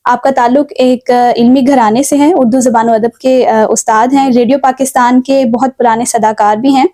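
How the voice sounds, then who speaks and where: Pakistani lady hosting a book and poetry show, mellow voice, dry close sound, very polite and appreciative. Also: fairly high pitch, fairly even intonation, no room sound. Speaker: talk show host. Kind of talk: hosting a literary talk show.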